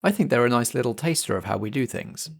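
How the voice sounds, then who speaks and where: A man's voice: medium pitch, expressive, fast, gravelly. A man hosting a podcast, giving a scripted introduction to the show. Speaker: podcast host.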